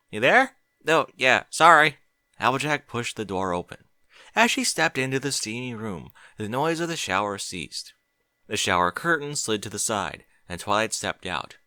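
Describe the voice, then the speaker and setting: A man reading scripted fiction as an audiobook narrator, measured and expressive. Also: on the low side, no room sound, studio quality.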